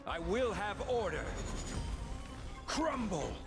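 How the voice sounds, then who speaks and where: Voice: mid-range voice, big pitch swings, slow, over music. An actor voicing a stern warrior in a video game, calling out terse, commanding battle lines.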